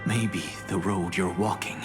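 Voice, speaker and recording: A man voicing a solemn, cryptic, fatalistic figure in a video game, with dramatic, breathy, portentous delivery. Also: low-pitched, steady tone, measured pace, long reverb tail, over music. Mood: grave, hushed.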